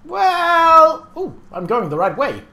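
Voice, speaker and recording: A man's voice: extremely high pitch, huge pitch swings, slow pace, vocal fry, pushed and bright, roomy sound. A man casually commenting on his game as he plays, chatty and easily distracted, thinking aloud. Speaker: Let's Play host.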